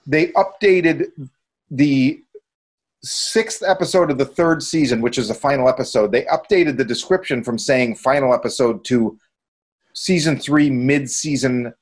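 A man's voice: mid-range voice, unhurried. A man co-hosting a podcast, giving his opinions in informal, casual talk and reasoning aloud as he goes. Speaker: podcast co-host.

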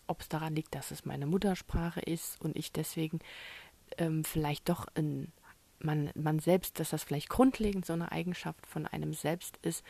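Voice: medium pitch, vocal fry, darkish tone. A novelist from Germany talking through a rambling solo monologue, musing aloud and often dropping into a whisper.

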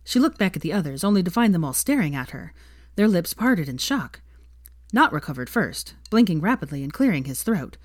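A woman narrates a story in a fairly low voice. The reading is scripted prose storytelling, recorded close and dry with very little room sound. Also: big pitch swings, fairly quick, no room sound. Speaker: fan fiction reader, narrator.

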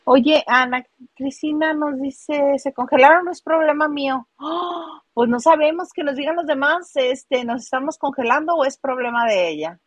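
A woman's voice: high voice, normal speed, no room sound. A woman with a Mexican accent co-hosting a casual celebrity gossip live stream, playful and teasing as she talks and reads out viewer comments.

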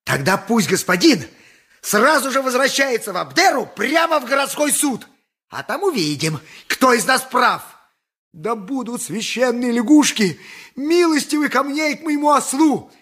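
An actor playing an indignant, pious, grumbling donkey driver in a radio play, with emphatic, plaintive peasant bluster. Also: male voice, very high voice, big pitch swings, normal speed, sharp tone, husky, heavily acted. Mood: indignant, haggling. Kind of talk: theatrical 1980s radio play acting.

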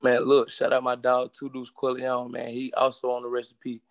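A man's voice: medium pitch, completely flat delivery, normal speed, vocal fry, no room sound, highs cut off. A young man in his twenties from Charlotte, a rapper guest talking in streetwise slang and constantly saying "you know what I'm saying". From Charlotte, North Carolina. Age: 20s. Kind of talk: casual interview.

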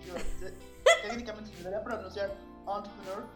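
A Mexican woman editor, a guest in an interview, relaxed and self-deprecating, with a Mexican Spanish accent. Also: mid-range voice, brisk, echoey room, music in the background. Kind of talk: casual interview.

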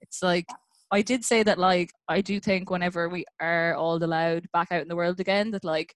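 An Irish woman guesting on a podcast, chatting in an animated, storytelling way with lots of filler words. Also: fairly low pitch, normal speed, no room sound, clean studio sound. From Ireland. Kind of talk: casual chat.

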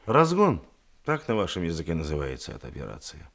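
An actor playing a shrewd, composed police detective in a radio drama, deliberate, commanding and dryly ironic. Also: male voice, low voice, huge pitch swings, creaky, a bit bright, heavily acted. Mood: methodical, pressing.

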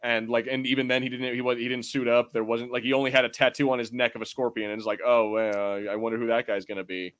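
A man's voice: mid-range voice, flat delivery, quick pace, few pauses, fairly bright tone. A man co-hosting a podcast, chatting casually in a rambling, slangy way. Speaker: podcast co-host. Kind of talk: casual pop culture discussion.

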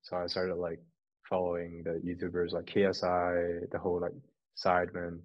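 Low-voiced young man, dull call audio. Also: moderate pace. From Hong Kong. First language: Cantonese. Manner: relaxed, filler-heavy, laughs easily. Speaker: podcast guest. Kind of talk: casual interview chat.

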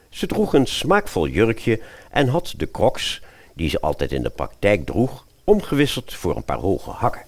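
A man narrating a satirical fairy tale as storyteller, expressively and with wry asides to the listener. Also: deep voice.

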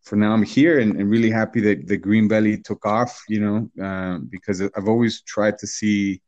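A man from Guatemala in his late thirties, telling his story as an interview guest in a relaxed, rambling way with many fillers and restarts. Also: lowish voice, normal speed, no room sound, dull audio. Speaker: guest, hot sauce business owner. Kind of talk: casual interview talk.

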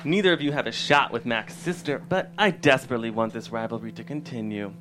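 A man's voice: medium pitch, fast, a bit bright, a little hiss or hum, close to an everyday voice. A man playing a crass, needling front-desk sidekick at a sitcom table read. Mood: amused, needling.

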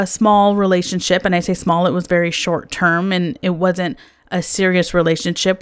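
A woman's voice: fairly low pitch, clear, resonant tone, no echo. A woman from Canada, hosting a podcast and telling her own story in an earnest, candid, confessional monologue. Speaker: podcast host. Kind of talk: personal reflective monologue.

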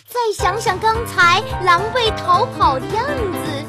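A woman storyteller narrating a scripted fairy tale for young children in an expressive, drawn-out manner. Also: very high-pitched. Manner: expressive, drawn-out storytelling with gentle sentence particles. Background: children's music.

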